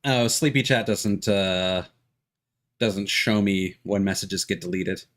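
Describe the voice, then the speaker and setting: A man's voice: sits low, takes it slowly, a bit bright. A man chatting casually as he streams a game, often hesitating and trailing off mid-thought. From Canada. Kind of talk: casual livestream chat with game text read aloud.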